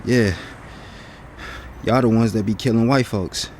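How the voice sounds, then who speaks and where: A man's voice: on the low side, fairly even intonation, measured pace, a little mellow, slight background noise, close to an everyday voice. An actor in an audio drama playing a guarded, sullen young male street robber who speaks in terse street vernacular. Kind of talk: naturalistic audio drama acting.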